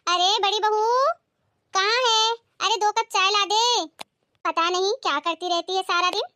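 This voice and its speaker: A woman voicing scheming, gushing women of a family in an animated domestic story. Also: very high-pitched, a little slow, no room sound, heavily acted. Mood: fawning, bossy. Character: mother-in-law and her flattering younger daughter-in-law, scheming.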